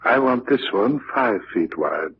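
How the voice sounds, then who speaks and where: A man's voice: lowish voice, lively intonation, a little slow. An actor playing a stubborn, wealthy father with a clipped, commanding manner in a 1940s radio drama. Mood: brusque, determined. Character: imperious wealthy father, stubborn and morbidly determined. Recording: dull, hissy 1940s radio recording.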